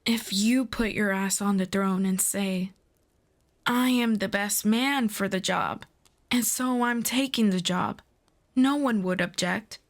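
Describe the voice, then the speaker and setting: A woman playing a proud, earnest demon princess in a fantasy audio roleplay, speaking in a formal, deliberate, persuasive way. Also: medium pitch. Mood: surprised, insistent.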